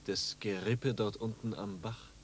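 An actor playing a tormented, melancholy young wanderer in a 1950s radio drama, brooding and anguished. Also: male voice, lowish voice, fairly even intonation, a little slow, natural voice. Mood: subdued, uneasy. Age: young adult. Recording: old radio recording with hiss and hum.

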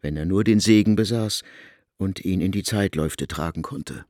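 A man's voice: low-pitched, normal speed, mellow, no room sound, clean studio sound. A man reading scripted literary narration as the narrator of a radio drama.

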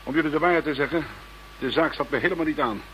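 Lead investigator, played by a man: Dutch, down-to-business, relaxed manner, radio drama, slight background hiss. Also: on the high side, fast talker, dull audio, natural voice. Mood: businesslike. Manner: informal, businesslike. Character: easygoing but businesslike Dutch investigator leading the case. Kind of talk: radio play acting.

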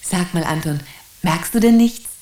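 An overworked mother in a radio play, acted by a woman, chatty and breezy. Her voice sits fairly low for a woman, in a roomy recording. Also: measured pace, a little mellow, natural voice. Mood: pleased, breezy. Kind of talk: German radio play acting.

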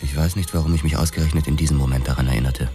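A laconic policeman and former boxer in a noir radio play. His voice is extremely low, his delivery sober and guarded, with music playing beneath it. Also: fairly even intonation, racing. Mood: sober, reflective. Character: laconic male ex-boxer cop narrating his past. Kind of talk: scripted first-person crime radio drama narration.

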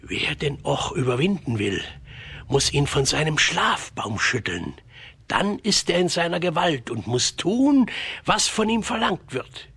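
A man playing a gruff village father in a children's radio play, with a very husky, forceful voice. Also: a little high, very animated, unhurried, full character voice. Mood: grave, wary.

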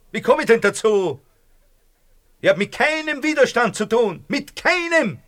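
High, tense, agitated man. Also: fairly bright tone, exaggerated voice. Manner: agitated, insistent. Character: frightened, agitated man disowning any resistance. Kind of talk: radio play acting.

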